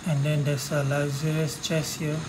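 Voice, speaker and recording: Low-voiced Malaysian or Singaporean woman gamer. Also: little pitch movement, normal speed, background noise. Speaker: gamer making a walkthrough. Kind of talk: casual gameplay commentary. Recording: loud game music behind the voice. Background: loud game music.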